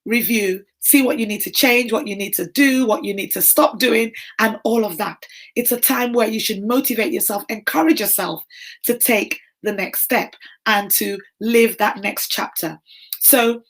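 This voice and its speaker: Hoarse fifties woman, warm encouraging livestream host, chatty. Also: a little high, moderate pace. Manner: warm encouraging, greets viewers by name. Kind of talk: casual encouraging livestream chat.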